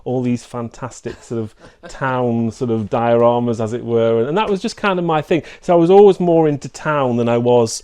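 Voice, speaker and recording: A man's voice: medium pitch, moderate pace, hardly any pauses, mellow, smooth. A man in his fifties with a North East English accent, reminiscing casually as an interview guest. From Newcastle upon Tyne, England. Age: 50s.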